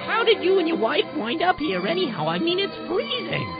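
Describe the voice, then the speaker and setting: A man playing a hapless, nervous field news reporter who stammers in an animated way. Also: very high-pitched, a little fast, exaggerated voice. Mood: nervous, flustered. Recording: dull lo-fi radio sound, music behind. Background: music.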